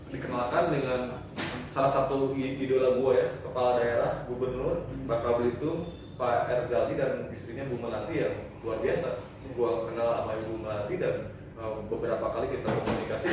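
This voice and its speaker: A man's voice: mid-range voice, background noise. A man with a Sundanese accent, a guest in casual chat, joking and slangy, often repeating himself. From West Java. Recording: echoey room, distant mic.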